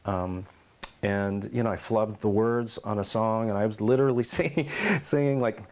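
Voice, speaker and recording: A middle-aged man in an oral history interview at his home, telling lively stories and acting out quotes. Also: fairly low pitch, moderate pace. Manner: animated storyteller, acts out quotes and meows.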